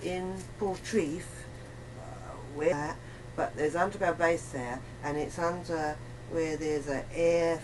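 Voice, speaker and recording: A woman giving a casual, rambling account in a chat, halting and often repeating herself. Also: low voice, fairly even intonation. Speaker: interview guest. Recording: noisy amateur recording of a chat.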